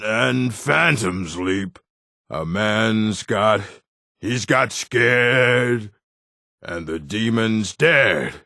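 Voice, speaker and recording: An actor playing a jovial, boastful orc bard in a video game, with a theatrical, sing-song way of talking. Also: male voice, on the low side, fairly bright tone, no room sound, clean studio sound, full character voice. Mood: merry singing. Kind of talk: video game character acting.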